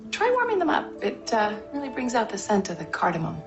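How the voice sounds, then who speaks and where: An actress playing a warm, caring middle-aged stepmother in naturalistic TV film dialogue, with music behind her. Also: on the high side, huge pitch swings, brisk, highs cut off. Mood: gently teasing.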